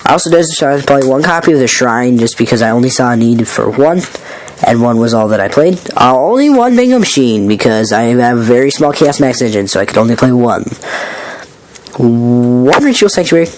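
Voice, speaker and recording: A man who is sick with a raspy voice, casually walking through his card game deck in a rambling, filler-heavy, apologetic way. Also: medium pitch, very animated, a little fast, slight background noise.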